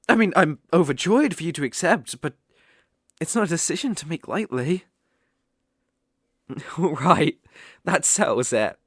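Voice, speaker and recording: A man's voice: fairly high pitch, dry recording, studio quality. A British man playing a sly, possessive, lovestruck fox-spirit mage in an ASMR audio roleplay, coaxing and easily flustered. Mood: overjoyed. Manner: coaxing, slow, with eager flustered outbursts.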